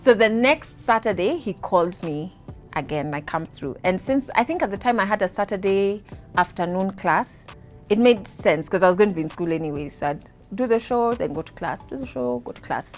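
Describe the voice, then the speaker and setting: A woman's voice: medium pitch, brisk. Kenyan woman in her 30s, chatty guest telling stories, laughs a lot, faint music behind. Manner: animated, laughing, mixes in Swahili. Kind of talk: casual storytelling interview.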